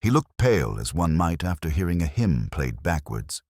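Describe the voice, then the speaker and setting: A man narrating a scripted mystery story in a measured, dryly wry manner. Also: rumbling low, big pitch swings, a little mellow, no room sound, studio quality.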